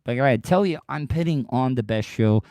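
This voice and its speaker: Man, podcast host, mellow dark voice, dry close sound, tired and deeper than usual, rambling chat to listeners. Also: lively intonation, normal speed, no room sound. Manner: rambling, repeats himself, talks straight to listeners. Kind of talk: casual solo livestream monologue.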